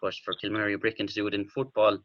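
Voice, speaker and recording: An Irish man gives earnest sports analysis on radio. His voice is low, fairly flat and quick, with a forceful edge. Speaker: sports journalist, panel guest. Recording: slightly dull lo-fi radio sound.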